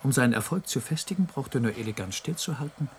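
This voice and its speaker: A man's voice: mid-range voice, animated, quick pace, slight background noise, close to an everyday voice. An actor playing a cool, cynical male observer in a radio play, commenting in a dry, sardonic way. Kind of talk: German radio play acting.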